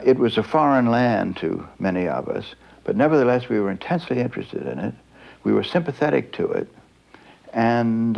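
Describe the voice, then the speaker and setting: A man's voice: medium pitch, creaky, lo-fi sound. A man reflecting in a documentary interview, articulate and hesitant as he picks his words. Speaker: photojournalist.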